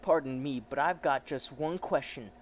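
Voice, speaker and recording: A man playing a polite, fearless young English gentleman with a hot temper from a comic, courteous but firm. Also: medium pitch, normal speed, a little hiss or hum, close to an everyday voice.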